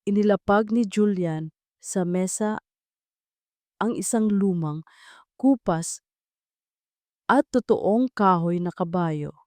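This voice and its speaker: A woman's voice: mid-range voice, takes it slowly, long pauses, darkish tone, dry recording. A woman with a Filipino accent reading scripted dramatic narration as a storyteller.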